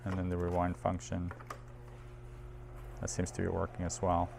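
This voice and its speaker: A man's voice: low voice, monotone, normal speed, gravelly, noisy background. A man presenting audio equipment in a casual hands-on demo, informal and thinking aloud as he handles the machine.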